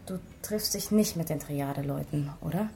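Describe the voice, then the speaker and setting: A woman's voice: sits low, moderate pace, creaky, mellow. An actress playing a concerned, probing female police investigator in a naturalistic radio crime drama. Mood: probing, hesitant. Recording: music behind the voice. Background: music.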